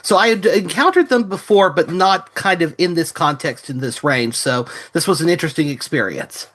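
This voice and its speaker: Man, fairly high-pitched voice, podcast guest, casual conversational delivery. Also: normal speed, a bit bright. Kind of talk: casual chat.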